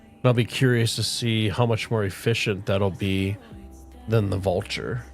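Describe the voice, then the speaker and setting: A middle-aged man chatting casually with viewers on a game livestream, relaxed and rambling, with a soothing voice. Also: fairly low pitch, measured pace, vocal fry. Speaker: streamer. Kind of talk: casual livestream chat while gaming. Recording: faint music playing underneath.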